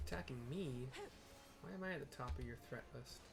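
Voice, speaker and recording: A man talks through a video game he is playing, in a casual and slangy style. His voice sits at a middling pitch, and his manner is playful and informal, like a gamer commentating for viewers. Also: a little fast, vocal fry, over music. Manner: playful, slangy, breaks into singing and sound effects. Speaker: gamer narrating own playthrough. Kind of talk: casual gameplay commentary with read-aloud dialogue.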